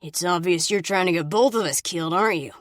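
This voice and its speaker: A woman's voice: fairly low pitch, quick pace, no echo, clean studio sound, close to an everyday voice. A woman voicing a sneering, violent young man who snarls and mocks in an audiobook. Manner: snarling, mocking.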